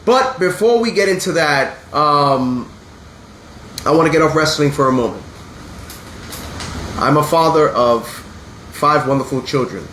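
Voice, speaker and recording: A man's voice: a little high, expressive, measured pace, pauses often, a bit bright. Congested man hosting a wrestling podcast. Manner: earnest and blunt, swears when upset. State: congested with a sinus infection. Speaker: podcast host. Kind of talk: casual wrestling podcast chat. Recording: echoey room with faint hum.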